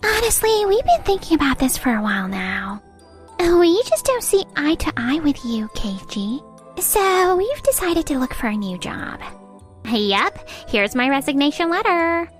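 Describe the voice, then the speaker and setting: Haughty, high-pitched young woman, acted by a woman. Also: big pitch swings, a little slow, soft background music. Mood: smug. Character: haughty young woman saleswoman, spoiled executive's daughter. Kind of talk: animated manga dub voice acting.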